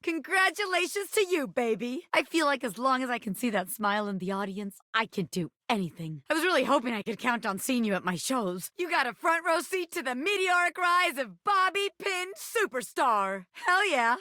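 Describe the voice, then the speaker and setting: A woman's voice: high voice, big pitch swings, normal speed, few pauses, pushed and bright, no echo, studio quality, full character voice. A woman voicing a brash, cocky little bobby pin crook in a video game, with streetwise, slangy, theatrical tough talk. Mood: grateful, hyped. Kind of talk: video game character voice acting.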